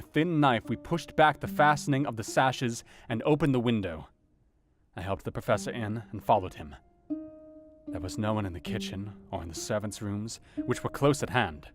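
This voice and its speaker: A man's voice: lowish voice, close to an everyday voice. An actor playing an earnest, anxious doctor, narrating his diary in a grave, brooding, theatrical manner for an audio drama. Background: plucked guitar music.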